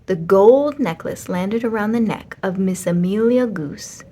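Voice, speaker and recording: A woman reading a children's story aloud as an expressive storyteller. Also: mid-range voice, unhurried.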